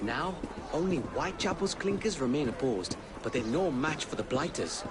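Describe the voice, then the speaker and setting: An actor playing a courteous, earnest male curio shop owner and guide in a video game, speaking politely and formally in measured phrases. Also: on the high side, lively intonation, natural voice. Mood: urgent, grave. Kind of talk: video game cutscene acting. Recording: music and city noise behind.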